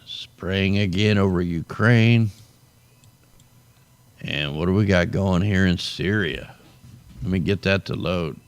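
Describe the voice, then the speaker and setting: A man's voice: deep voice, slow pace, long pauses, smooth, dry recording. A man hosting a casual weather commentary, tired and losing his voice, talking loosely and tacking "man" onto his sentences. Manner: loose, conversational, drawls "man" at line ends. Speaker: show host. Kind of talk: casual weather commentary over satellite maps.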